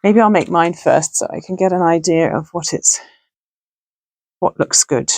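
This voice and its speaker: A middle-aged woman with a gravelly, hoarse voice, chatting casually and thinking aloud as she makes something at home. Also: lowish voice, normal speed, darkish tone, a little hiss or hum. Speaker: crafting channel host. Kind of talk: casual crafting tutorial chat. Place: home sewing room.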